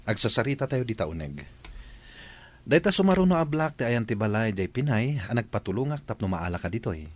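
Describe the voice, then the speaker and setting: A man playing an assured, attentive middle-aged father and employer in a radio drama, unhurried and in charge. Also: on the low side, very animated. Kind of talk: Ilocano radio drama acting.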